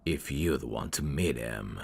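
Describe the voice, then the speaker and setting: A man voicing a sly demon servant in an ASMR audio drama, courtly and theatrical with irreverent, sardonic asides and a very deep, gravelly voice. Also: expressive, slow, slight background noise, exaggerated voice. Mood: gentle, explaining.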